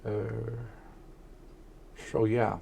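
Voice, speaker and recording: Guy talking slow and flat, kinda low voice, lots of ums, streamer rambling. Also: vocal fry, slight background noise. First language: Danish. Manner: monotone; hesitant, many fillers. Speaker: streamer and aspiring author. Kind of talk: casual spoken monologue.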